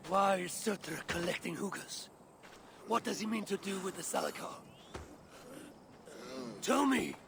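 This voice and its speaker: An actor playing a grim, determined warrior in a video game, with a gravelly voice. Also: male voice, sits high, a little slow, pauses often, background noise. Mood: demanding.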